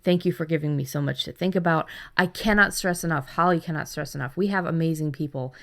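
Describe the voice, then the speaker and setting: A woman's voice: low-pitched, quick pace, vocal fry. A woman in her thirties co-hosting a podcast in casual chat, effusive and emphatic, often repeating for stress. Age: 30s. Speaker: podcast co-host.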